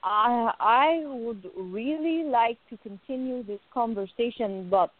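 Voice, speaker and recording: An actress playing a playful, adoring, flirtatious woman in love in a radio play, with a teasing, excitable manner. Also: on the high side, takes it slowly. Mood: tender, adoring. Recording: narrow phone-like, dry sound.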